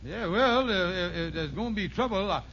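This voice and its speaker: A man's voice: high voice, expressive, fairly quick, a bit bright. An actor playing a fretful, scheming man in a comic fix in an old-time radio sitcom. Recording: dull, noisy vintage radio recording.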